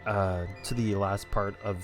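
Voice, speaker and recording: A man commentates casually on a game he is playing. His voice is a little low, informal and rambling, with faint music behind it. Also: steady tone, unhurried. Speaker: game streamer. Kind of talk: casual let's play commentary.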